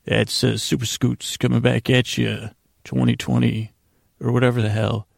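A man hosting a bedtime podcast, rambling in a gravelly, creaky voice with halting, stammered repetitions and a self-deprecating manner. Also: mid-range voice, flat delivery, no echo, studio quality. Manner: meandering, halting, stammering with repeated words. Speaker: podcast host.

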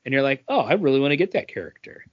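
A man's voice: medium pitch, sing-song, racing. A man talking casually about games as a podcast co-host, on a slightly dull recording.

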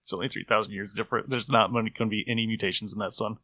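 A slightly low-voiced man with a bright, forceful tone, recorded close and dry. He talks like a podcast co-host in a casual review chat, working through his points with geeky animation and repeating phrases to press them home. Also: fairly even intonation, rapid-fire, no echo. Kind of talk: casual review chat about old radio episodes.

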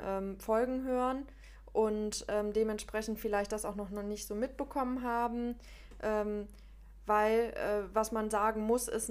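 A woman's voice: mid-range voice, a little mellow. A woman co-hosting a podcast in casual chat, with a regional German dialect and a speech impediment, talking hesitantly with many fillers. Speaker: podcast co-host.

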